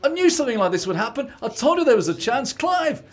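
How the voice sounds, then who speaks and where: Man acting an excitable, secretive bloke, lively pitch. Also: fast. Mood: ecstatic. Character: desperate, secretive man turned ecstatic lottery winner. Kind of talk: amateur student radio play acting.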